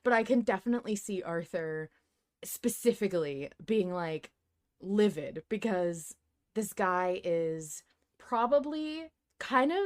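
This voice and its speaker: A woman's voice: mid-range voice, expressive, slow pace, a little mellow. A woman hosting a podcast, talking casually and emphatically in a fan discussion, with frequent swearing. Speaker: podcast host.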